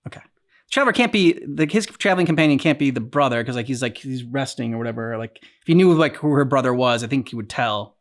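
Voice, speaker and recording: A man co-hosting a game reaction channel, chatting casually and haltingly as he thinks aloud. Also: medium pitch, animated. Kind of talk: casual discussion and commentary.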